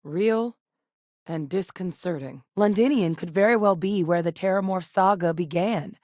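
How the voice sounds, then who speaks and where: Earnest game scientist, voiced by a woman: low, measured, candid, dry studio read. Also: a little mellow, dry recording, natural voice. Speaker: game character. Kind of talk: video game dialogue.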